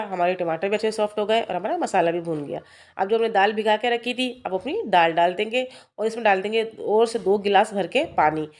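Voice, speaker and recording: A woman's voice: mid-range voice, a little mellow. A woman vlogging at home in her kitchen, chatty and talking viewers through each step, with a sore throat. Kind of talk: casual cooking vlog narration.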